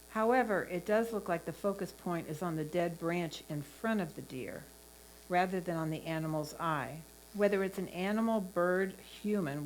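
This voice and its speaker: A woman judging photographs, giving a prepared critique in a measured, instructive, encouraging way. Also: lowish voice, rough recording. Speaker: photo competition judge. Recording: clear mains hum.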